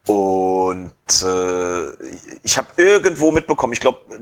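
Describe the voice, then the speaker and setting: A man in his early forties with a husky, slightly low voice talks loosely on a podcast, full of hesitations and little fillers as he thinks out loud. He sounds relaxed and conversational. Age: 40s. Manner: rambling, hesitant with many fillers. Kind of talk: casual podcast discussion.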